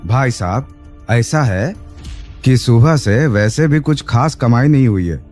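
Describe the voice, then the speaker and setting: A man's voice: medium pitch, expressive, takes it slowly, a little mellow, soft background music. A man voicing an irritated, dismissive bus conductor in a cartoon, mocking and exasperated. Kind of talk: cartoon voice acting.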